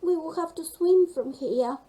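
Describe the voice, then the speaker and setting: A woman voicing a cheerful, bold young female penguin for a storybook, excitable and encouraging. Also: very high-pitched, unhurried, pitched above the performer's own voice, poor audio.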